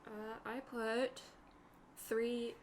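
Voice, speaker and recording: A woman's voice: fairly high pitch, little pitch movement, extremely slow, darkish tone, slight background noise. A woman chatting casually as a player at a tabletop roleplaying game. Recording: group chatter in the room.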